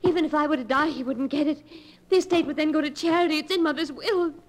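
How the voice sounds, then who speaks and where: An actress playing a frightened young woman who fears for her sanity, breathless and halting, in 1940s radio melodrama style. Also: high voice, natural voice. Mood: anxious, bewildered. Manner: breathless, halting, repeating words in dread. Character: frightened young heiress fearing she is going insane. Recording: noisy 1940s radio broadcast recording.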